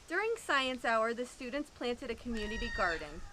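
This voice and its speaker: A woman reading a children's picture book aloud, with expressive, drawn-out emphasis. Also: on the high side, a little hiss or hum, poor audio. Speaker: storyteller at an animal sanctuary.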